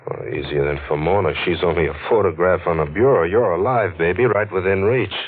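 A man's voice: sits low, a little fast. A man acting a wry, skeptical, streetwise investigator in a 1940s radio mystery, with clipped, slangy tough-guy delivery. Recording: noisy, narrow-band old radio recording.

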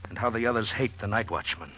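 An actor in 1940s radio drama playing an anxious, earnest gentleman, a bewildered newcomer who speaks haltingly and intensely. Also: lowish voice. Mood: tense, brooding. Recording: dull old 1940s radio recording.

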